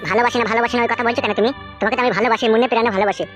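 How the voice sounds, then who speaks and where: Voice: mid-range voice, fairly even intonation, very fast, a bit bright, faint music behind, lightly acted. A performer voicing an anxious, cowardly village man in a comic cartoon, whiny, fretful and crude. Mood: worried, reluctant. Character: anxious, cowardly male village lover, whining and cursing.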